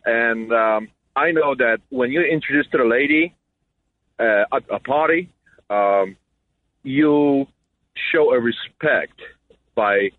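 A man's voice: mid-range voice, no echo. Polish-accented male caller, hesitant, dry phone-line sound. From Poland. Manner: hesitant, many fillers.

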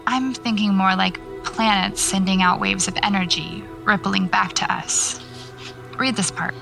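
A woman's voice: mid-range voice, fairly even intonation, a little slow, vocal fry, music in the background. An actress playing a dry, sardonic, preoccupied woman novelist in an audio drama. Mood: intent. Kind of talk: naturalistic audio drama dialogue.

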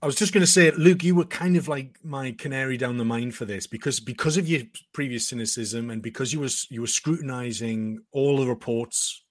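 A man co-hosting a podcast speaks in casual chat. He rambles, stumbling over repeated words as he builds his point. His voice sits at a middle pitch with a dry, close sound. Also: brisk, hardly any pauses, no echo. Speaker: podcast co-host.

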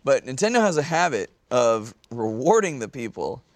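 A man's voice: mid-range voice, sing-song, moderate pace, dry recording. A man co-hosting a podcast, chatting casually in a blunt, sweary way. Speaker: podcast co-host. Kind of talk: casual chat.

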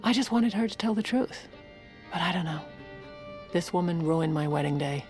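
An actress playing a sardonic, steely, scheming woman in an audio drama, speaking in a dry, cutting, deliberate way. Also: lowish voice, animated, a little mellow, faint music behind, lightly acted. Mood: wry, cold. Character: sardonic, steely woman scheming against her family's accusers. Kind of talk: audio fiction drama acting.

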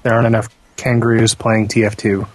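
A man's voice: lowish voice, little pitch movement, brisk, rough recording. A man co-hosting a podcast in casual group chat. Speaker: podcast co-host.

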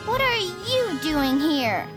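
A woman's voice: extremely high pitch, big pitch swings, takes it slowly, full character voice. An actress playing a bossy young girl who melodramatically hams up a vampire lord in an audio drama. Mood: gloating, sinister. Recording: music behind the voice.

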